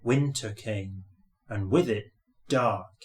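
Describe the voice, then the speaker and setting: Slow-paced man reading a children's story. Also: lowish voice. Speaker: storybook narrator. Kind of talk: scripted children's story narration.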